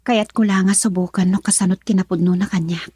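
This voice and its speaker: A woman playing a breathy, doubting young lover. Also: mid-range voice, fairly even intonation, fairly quick, dark tone, dry recording, clean studio sound. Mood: wistful. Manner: whispered inner thoughts. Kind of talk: radio drama acting.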